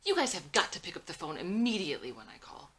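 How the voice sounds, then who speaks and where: A woman voicing a neurotic, worn-out mother in her forties, quick, snappish and urgent, in a dramatic script reading. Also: lowish voice, big pitch swings, fairly bright tone. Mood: irritated, urgent.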